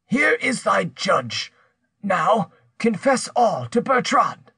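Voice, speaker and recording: A man's voice: high-pitched, very animated, measured pace, fairly bright tone, breathy edge, dry recording, studio quality, pitched above the performer's own voice, exaggerated voice. A man voicing a menacing, vengeful older uncle who blackmails and accuses vehemently in an audiobook story. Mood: vehement, accusing.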